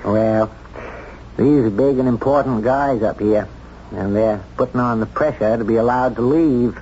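An American man acting a plain-spoken, self-doubting small-town sheriff in a 1970s radio drama, with a folksy, halting, candid delivery. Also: mid-range voice, dark tone, natural voice. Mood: measured, reassuring. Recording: dull, noisy 1970s radio drama recording.

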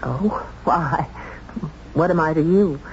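A woman's voice: sits low, slow pace, a little mellow. A woman acting an anxious but proud murder suspect in a 1970s radio drama, dramatic and earnest, with pointed questions. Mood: searching. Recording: dull, noisy 1970s radio drama recording.

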